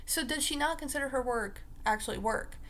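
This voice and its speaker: A young woman vlogging in a casual, rambling way, her voice raspy because she just woke up. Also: high voice, brisk, a bit bright. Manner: rambling, chatty, full of fillers like "like" and "uh". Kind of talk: casual talk to camera.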